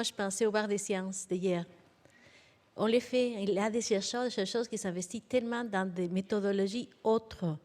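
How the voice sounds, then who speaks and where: A woman's voice: medium pitch, darkish tone. A woman academic giving semi-improvised remarks on a panel, earnest and repeating key terms for emphasis.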